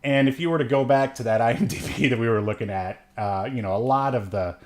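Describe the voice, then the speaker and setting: A man's voice: mid-range voice, quick pace, a little mellow. A man around his thirties or forties chats casually as a podcast co-host, joking and meandering through his thoughts. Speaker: podcast co-host and film reviewer. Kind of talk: casual unscripted film discussion.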